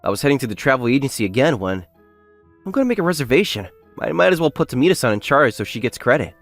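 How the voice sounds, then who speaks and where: A man's voice: mid-range voice, very animated, quick pace, faint music behind. A man playing a modest, plain-spoken young factory worker who narrates his own story in a manga dub. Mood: musing. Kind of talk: English manga dub acting and first-person narration.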